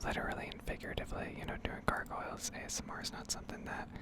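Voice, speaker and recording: A woman whispering a rambling, improvised ASMR game commentary in a hesitant, unhurried way, with a breathy, creaky edge. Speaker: gaming ASMR creator.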